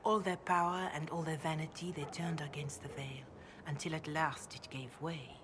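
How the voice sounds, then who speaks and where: Low-voiced solemn priestess played by an actress. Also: female voice, fairly even intonation, background noise, close to an everyday voice. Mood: grave reciting. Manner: solemn, measured, reverent. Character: devout, grave chantry priestess, calm and counselling. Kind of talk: video game dialogue, scripture recitation.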